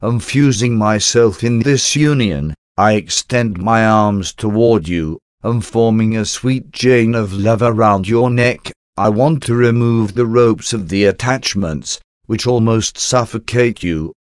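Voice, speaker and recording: A man's voice: fairly low pitch, a little slow, few pauses, clear, resonant tone, no room sound, studio quality. A man reading a devotional prayer aloud in an earnest, pleading, reverent way. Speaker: devotional reader.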